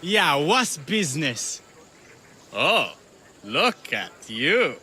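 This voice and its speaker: High-voiced, slurring drunken actor. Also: male voice, very animated, drawn-out words, bright, forceful tone, a little hiss or hum, heavily acted. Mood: drunk, jolly. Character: cheerful drunken Greek actor, sloppy and boastful. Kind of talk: video game acting.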